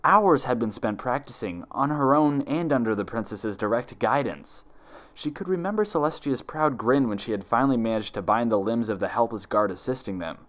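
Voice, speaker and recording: A man's voice: medium pitch, lively intonation, moderate pace, fairly bright tone. A man reading a story aloud as narrator in a dramatic scripted style. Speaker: fan fiction narrator.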